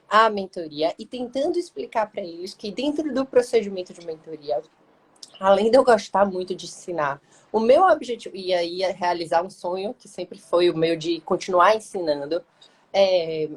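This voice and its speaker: A woman's voice: mid-range voice, lively intonation, moderate pace, slightly rough. A woman with a Bahian Brazilian accent hosting a live interview, chatty and affectionate, talking in long, winding sentences. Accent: Bahian Brazilian Portuguese. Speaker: lawyer and mentor hosting a live stream. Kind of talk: casual live-stream interview.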